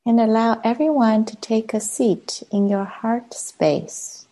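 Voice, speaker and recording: A woman, a native Chinese speaker, leading a guided meditation as a teacher, gently and with long unhurried pauses. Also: a little high.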